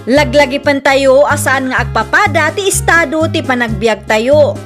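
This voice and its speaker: A woman's voice: high voice, normal speed, a bit bright. A woman with an Ilocano accent hosting and narrating a radio drama in a scripted style over music. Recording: music bed behind the voice.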